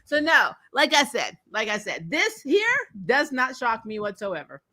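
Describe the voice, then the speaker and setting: A woman co-hosting a casual comic book chat, telling things in an animated, sarcastic way with mild swearing. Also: on the high side, a little slow. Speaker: co-host of a comic book discussion stream. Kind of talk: casual fan chat, reading and answering viewer comments.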